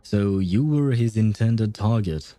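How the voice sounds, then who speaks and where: A man's voice: lowish voice, takes it slowly, dry recording. A man livestreaming a video game with casual, chatty commentary, thinking aloud as he plays. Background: soft game music.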